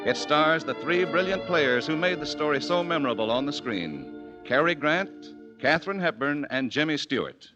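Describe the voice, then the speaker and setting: A man's voice: on the high side, sing-song, normal speed, low-quality recording. A male announcer introducing a 1940s radio drama in a formal, declamatory manner. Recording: old broadcast with music bed.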